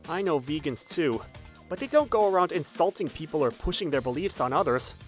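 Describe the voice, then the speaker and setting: Sensible manga-dub husband, voiced by a woman: low, lively intonation, conversational, level-headed. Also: brisk. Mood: calm, reasoning. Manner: reasoning, conversational. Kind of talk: manga dub character acting. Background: background music.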